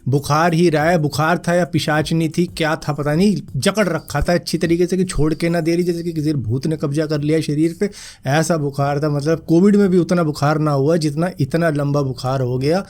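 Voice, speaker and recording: A young man with a hoarse throat after a fever, casually rounding up news stories for his viewers. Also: a little high, steady tone, normal speed, a little mellow. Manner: chatty, addresses viewers as friends. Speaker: news vlogger.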